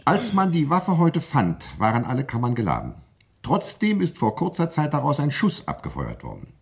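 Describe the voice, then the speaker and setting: Stern coroner, husky, widely varied intonation, formal probing questions, old German radio crime play. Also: male voice, mid-range voice, huge pitch swings, moderate pace, exaggerated voice. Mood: accusing. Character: stern, insistent male coroner questioning a witness. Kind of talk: vintage German radio crime drama acting.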